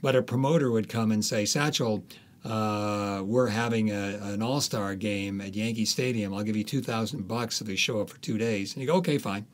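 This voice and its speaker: A man with a slightly low voice talks as a guest author in an interview. He tells anecdotes in a conversational way, often halting as he searches for the next word.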